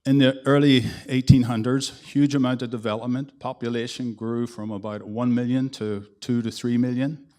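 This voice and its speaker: A man with a Northern Irish accent giving a conference presentation as an engineer, in a relaxed, conversational way with some restarts. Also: medium pitch, moderate pace, few pauses. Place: conference hall.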